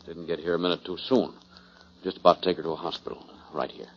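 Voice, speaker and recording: An actor playing a concerned, businesslike male rodeo doctor, measured and cautious in 1940s radio style. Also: low voice, level tone. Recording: dull, muffled 1940s radio recording.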